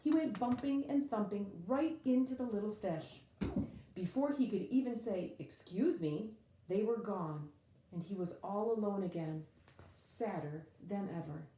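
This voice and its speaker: A woman reading a children's picture book aloud in an expressive, dramatic way, leaning on key words with drawn-out emphasis. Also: medium pitch, reverberant, a little hiss or hum, rough recording.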